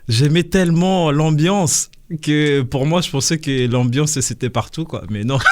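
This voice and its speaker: A man in his thirties from Congo whose first language is Lingala, a chef talking as a guest in a radio studio in a relaxed, hesitant way with many fillers. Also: a little high, slow. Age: 30s. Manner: relaxed, hesitant with frequent fillers, laughs often. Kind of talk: casual interview talk.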